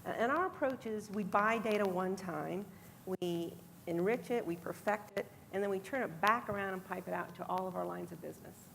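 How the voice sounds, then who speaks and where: A woman on a conference panel speaks with a gravelly, fry-heavy voice. She is matter-of-fact, with faint room noise behind her. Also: mid-range voice, brisk, poor audio. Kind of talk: panel self-introduction.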